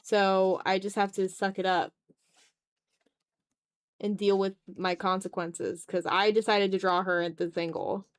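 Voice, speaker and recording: Woman streamer doing art, mid pitch voice, casual rambly thinking out loud, kinda self-deprecating. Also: little pitch movement, measured pace. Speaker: art livestream host. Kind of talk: casual chat while drawing on a livestream.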